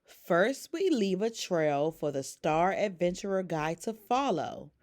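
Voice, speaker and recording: Storybook role: boastful, scheming male plush toy, played by a woman, excitable and theatrical, with big pitch swings. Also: takes it slowly. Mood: gleeful plotting.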